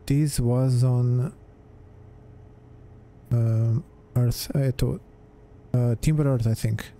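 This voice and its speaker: A man's voice: mid-range voice, steady tone, drawn-out words, mellow. A man giving casual gameplay commentary, hesitantly muttering his thoughts aloud as he plays. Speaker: gamer, let's play host.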